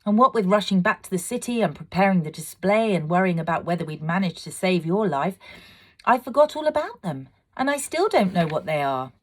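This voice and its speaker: Woman putting on an eager, high-pitched young girl. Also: fairly quick. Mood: eager, puzzled. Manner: animated, earnest. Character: bright, eager young girl, daughter of a firework maker.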